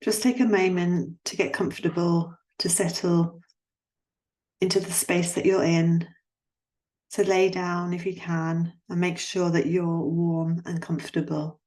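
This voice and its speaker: A woman guiding a yoga nidra relaxation in a soothing, gently instructing manner, leaving long pauses between phrases. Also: on the low side, flat delivery, low-quality recording. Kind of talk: guided relaxation meditation.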